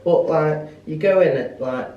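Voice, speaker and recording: A man's voice: a little high, unhurried, dull audio. A man of twenty with an English accent, chatting casually and adding tag questions as he goes. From Stoke, England. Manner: conversational, adds tag questions. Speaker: interviewee. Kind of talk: casual chat. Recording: echoey, distant mic, faint noise.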